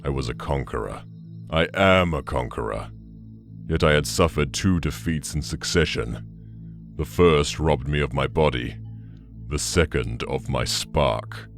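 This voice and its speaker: Ancient demon, cavernously low voice, bitter, contemptuous, slow menacing audio drama monologue, music underneath. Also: male voice. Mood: brooding rage. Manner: slow, menacing, brooding delivery.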